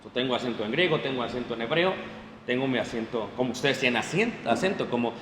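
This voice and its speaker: A man preaching a lively sermon to his congregation, often stopping to check they follow. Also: medium pitch, fast. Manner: animated, addresses the congregation directly with frequent checks. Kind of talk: sermon, Bible class. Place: church hall. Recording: echoey hall, faint room noise.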